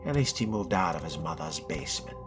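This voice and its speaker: A man roleplaying through a game in character, musing to himself in a wry, measured and formal way. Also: very deep voice, lively intonation, music in the background. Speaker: roleplaying gamer narrating a playthrough.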